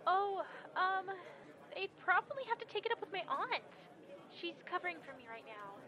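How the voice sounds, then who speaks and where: A woman's voice: very high voice, very animated, moderate pace, highs cut off. An actress playing a scatterbrained, guileless young female physicist in an audio drama, with a hesitant, rambling delivery. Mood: unsure.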